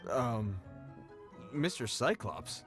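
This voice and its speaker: Earnest, formal patrol guard over music. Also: male voice, mid-range voice, lively intonation, extremely slow, fairly bright tone. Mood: stiff, warning. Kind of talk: video game voice acting.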